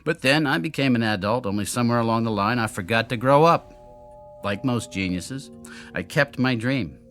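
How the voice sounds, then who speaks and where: An actor playing a drunken, world-weary genius inventor who mocks himself bitterly, in radio drama style. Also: male voice, medium pitch, moderate pace, fairly bright tone, soft background music, natural voice. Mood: confessional. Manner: wry, theatrical, world-weary.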